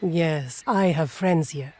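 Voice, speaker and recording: A determined warrior leader in a game cutscene. She speaks low and firmly, giving orders with no hesitation. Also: takes it slowly, warm tone. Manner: firm, commanding.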